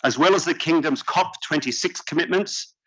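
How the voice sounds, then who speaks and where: A man's voice: fairly high pitch, moderate pace, a bit bright, breathy edge. A man professor giving a fluent, formal opening speech at a workshop, as if reading from prepared notes. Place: conference hall stage. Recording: slightly dull conference audio.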